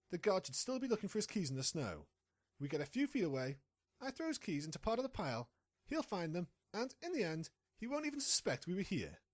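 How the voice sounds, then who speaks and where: A woman's voice: lowish voice, slightly rough, heavily acted. A woman playing a sly, scheming pony reporter in an audio drama, confident and impatient with a partner. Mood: determined, scheming. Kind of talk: fan audio drama acting.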